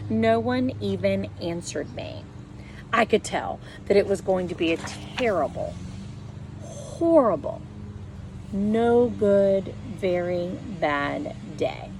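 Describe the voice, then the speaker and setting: Woman reading a picture book, noisy background. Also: medium pitch. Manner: animated, storytelling with emphatic stresses and drawn-out words. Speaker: read-aloud presenter. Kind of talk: expressive children's picture book read-aloud.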